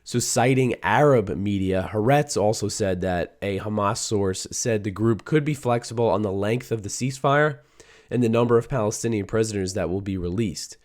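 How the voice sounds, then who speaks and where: Man, news host, hoarse scratchy voice, lowish pitch, casual conversational delivery. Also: moderate pace, a little mellow. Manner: conversational, reads quotes aloud, some restarts. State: hoarse, voice a little shot. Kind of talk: solo news commentary.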